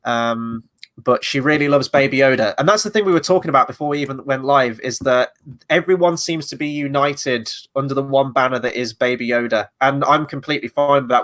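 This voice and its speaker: A man's voice: on the high side, a bit bright. A man hosting a casual review chat, a little stuffy from a cold, rambling through his opinions with fillers. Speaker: podcast host.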